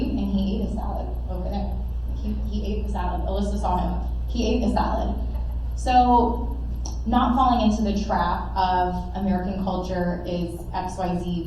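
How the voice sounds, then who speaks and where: A woman's voice: medium pitch, warm tone, slight background noise. Trinidadian woman in an echoey room. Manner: chatty, encouraging, repeats for emphasis. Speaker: panel speaker at a parent meeting. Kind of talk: casual presentation to parents. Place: meeting room with audience. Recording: echoey room, mic far off.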